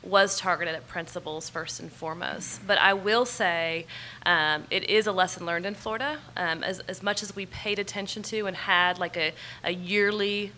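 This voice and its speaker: A woman's voice: fairly low pitch, fairly even intonation, normal speed, pushed and bright, poor audio. A woman in her forties with an American accent giving an emphatic, explanatory, unscripted answer as a panelist, speaking into a table microphone. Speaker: panelist on an education policy panel. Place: large conference ballroom stage. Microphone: gooseneck table microphone. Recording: live conference PA with faint room noise.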